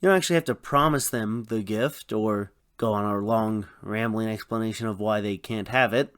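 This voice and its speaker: A man's voice: on the low side, normal speed, a bit bright, clear, resonant tone, dry recording, studio quality. A man co-hosting a radio show, delivering comic scripted banter.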